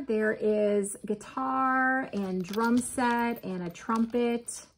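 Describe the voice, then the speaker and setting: A woman's voice: medium pitch, a little hiss or hum. A woman in her forties chatting casually to viewers, showing her crafts at home in her kitchen and often calling them "you guys.". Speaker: crochet vlogger. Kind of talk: casual show-and-tell chat.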